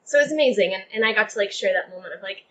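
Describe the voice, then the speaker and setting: A woman's voice: mid-range voice, lively intonation, very fast, a bit bright, a little hiss or hum, rough recording. A young woman chatting casually as an interview guest, speaking in an effusive, emphatic way peppered with "like". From Texas, United States. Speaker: playwright guest.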